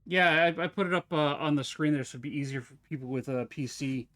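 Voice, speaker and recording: A man's voice: a little high, fast talker, fairly bright tone. A man streaming a puzzle game live, chatting casually and thinking aloud as he looks around. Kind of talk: casual live gameplay commentary.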